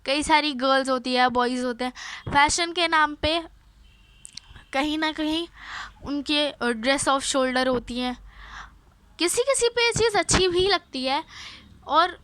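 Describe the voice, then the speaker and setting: A young woman with a cold and a hoarse voice, hosting a casual self-help video and chatting to viewers, often restarting her phrases. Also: high voice, measured pace, fairly bright tone, no echo. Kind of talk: casual advice talk to camera.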